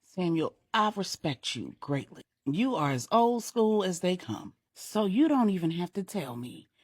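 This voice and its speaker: A middle-aged woman storyteller reading a grown-up bedtime story aloud, voicing a devoted grieving mother with a heartfelt, measured delivery. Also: on the low side, very animated, breathy edge, no echo, studio quality.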